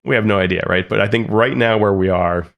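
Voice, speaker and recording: A man's voice: fairly low pitch, a little fast, vocal fry. A man co-hosting a podcast, explaining sales estimates step by step and checking in with "right?" as he goes. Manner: explanatory, walks through numbers with frequent "right?". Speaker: podcast co-host. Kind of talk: analytical podcast discussion.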